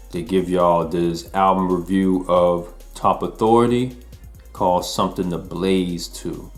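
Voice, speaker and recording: A man in his forties hosting a casual album review, talking to viewers in a relaxed, slangy way. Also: low-pitched. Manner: laid-back slangy talk to viewers.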